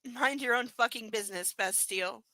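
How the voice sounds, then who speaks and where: A woman's voice: on the high side, normal speed, sharp tone, no room sound, poor audio. A woman voicing a cocky male sparring trainer who taunts his opponent in coarse language. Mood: taunting.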